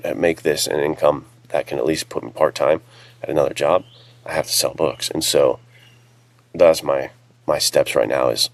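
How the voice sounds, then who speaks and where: A man with a very deep, creaky voice, chatting casually as an author in a rambling, self-deprecating way. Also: fairly even intonation, normal speed, no echo. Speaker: author and podcast panelist. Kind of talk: casual chat.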